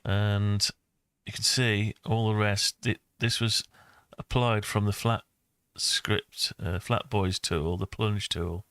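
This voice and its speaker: A man's voice: on the low side, steady tone, slow, hardly any pauses, a bit bright, clean tone, dry recording. A man in his sixties giving a casual screen-recorded tutorial, rambling and thinking aloud as he goes. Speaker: maker and video host.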